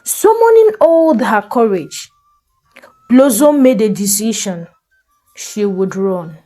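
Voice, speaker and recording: A woman narrating a folktale in a deliberate, dramatic storytelling style. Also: on the high side, faint music behind. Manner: slow, expressive, deliberate storytelling.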